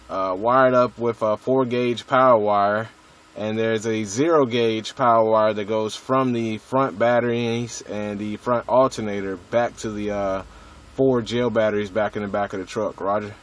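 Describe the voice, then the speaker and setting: An American man chatting casually as a radio hobbyist, in a laid-back, slangy way with some swearing. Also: fairly low pitch.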